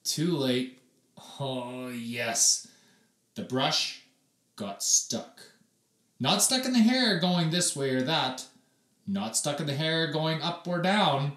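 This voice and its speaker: Animated man reading a storybook, echoey room. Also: fairly high pitch, far from the mic. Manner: dramatic, deliberate pauses and emphasis. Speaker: storybook reader. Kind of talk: expressive children's read-aloud narration. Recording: echoey room, away from the mic.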